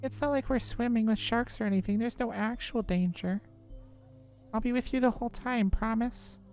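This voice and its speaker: A woman's voice: a little high, moderate pace, a little mellow, natural voice. A woman reading visual novel dialogue as a persuasive schoolgirl who coaxes and reassures a reluctant friend in a conversational way. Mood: reassuring. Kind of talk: acted visual novel dialogue read aloud. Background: music.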